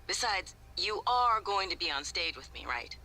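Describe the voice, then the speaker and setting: Earnest, playful space-station woman, animated pitch, slightly awkward, naturalistic video game dialogue. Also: normal speed, faint music behind. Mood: excited, dreamy.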